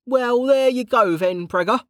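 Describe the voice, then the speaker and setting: A narrator voicing Kettle, a rough, cheeky male guard who talks in brash slang. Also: very high voice, a little slow, no echo, studio quality, pitched above the performer's own voice.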